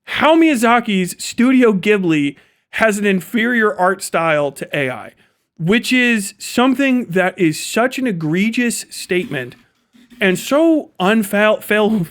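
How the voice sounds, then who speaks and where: A man's voice: high-pitched, expressive, moderate pace. A man giving casual, opinionated livestream commentary in a blunt, profane, conversational way. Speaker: streamer and commentator.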